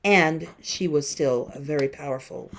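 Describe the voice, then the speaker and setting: A woman's voice: low-pitched, normal speed. A woman in her late fifties, a musician guest-teaching a class over a video call, telling stories and asking students questions in a conversational way. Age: 50s. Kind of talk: online classroom talk.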